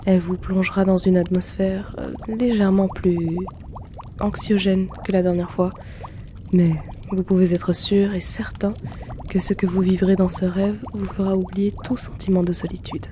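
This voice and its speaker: Casting: male actor for courteous potion-shop keeper, ASMR roleplay. Warm and mellow, flat gentle delivery, softly teasing, unhurried. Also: high voice, a little hiss or hum, lightly acted. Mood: gentle, attentive. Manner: polite, soft-spoken, unhurried, gently teasing. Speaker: roleplay audio performer.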